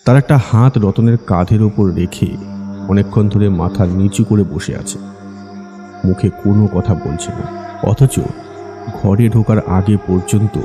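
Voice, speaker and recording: A man narrating a scripted ghost story for an audiobook, with long reverb on his voice. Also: on the low side. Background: soft music.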